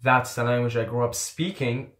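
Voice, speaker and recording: A man's voice: medium pitch, fairly even intonation, normal speed, fairly bright tone. Candid young man in an echoey room. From Qatar. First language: Arabic. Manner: rambling, candid, swears casually, repeats phrases. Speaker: YouTuber. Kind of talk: casual vlog storytelling.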